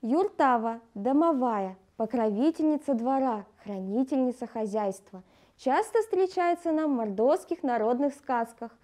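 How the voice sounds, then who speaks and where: A woman with a high-pitched voice reads scripted educational narration. Her tone is soft-edged and mellow, and she speaks like a teacher explaining material to pupils. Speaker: language lesson presenter.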